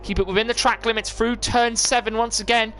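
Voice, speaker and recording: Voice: mid-range voice, level tone, fairly quick, a bit bright, soft background music. A commentator giving live play-by-play of an online motor race on a stream. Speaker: esports racing commentator.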